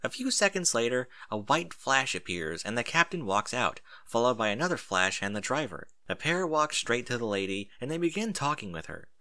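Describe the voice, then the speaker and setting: A man narrating a first-person story in an expressive reading style. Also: medium pitch, moderate pace, hardly any pauses.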